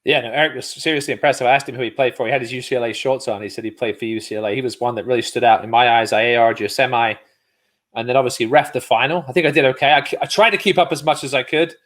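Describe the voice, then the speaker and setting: A man's voice: medium pitch, steady tone, very fast, fairly bright tone. A man hosting a sports show, talking casually as he interviews guests.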